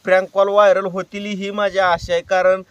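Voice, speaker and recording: A man's voice: high-pitched, little pitch movement, fairly quick, smooth, no echo, recorded from a distance, highs cut off. A man making an earnest, plain-spoken personal appeal to his viewers, repeating himself for emphasis. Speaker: YouTube creator and prank caller.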